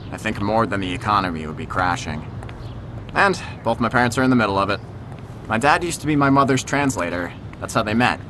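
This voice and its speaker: A man's voice: on the low side, noisy background, lightly acted. A man playing an easygoing, protective teenage boy in an audio drama, casual and conversational. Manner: casual, hesitant, reassuring. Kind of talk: naturalistic audio drama acting.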